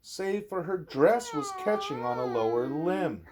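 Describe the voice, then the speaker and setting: A man reading a storybook aloud to a child as a parent, expressively and with drawn-out phrases. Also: a little high, very animated, noisy background.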